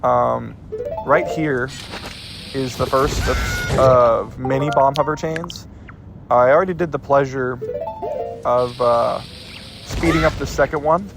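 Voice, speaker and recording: A man talks through a video game in a loose, rambling way, correcting himself as he goes. His voice is gravelly and a bit stuffed up. Game music plays clearly behind him. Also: mid-range voice, steady tone, takes it slowly, pauses often. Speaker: gameplay commentator. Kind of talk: casual let's-play commentary.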